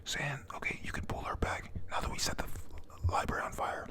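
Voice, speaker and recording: A person whispering a casual, halting, slangy retelling of a manga chapter, panel by panel, reading out the characters' lines. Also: bright, forceful tone. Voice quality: breathy unvoiced whisper. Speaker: manga reader narrating.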